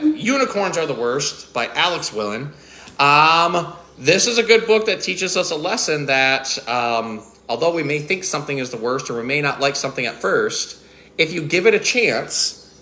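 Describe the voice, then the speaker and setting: A man in his thirties, a zookeeper doing a gentle, chatty children's storytime with occasional fillers. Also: a little high, a little hiss or hum. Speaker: zookeeper reading a picture book. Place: small indoor room with painted wall. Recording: echoey small room.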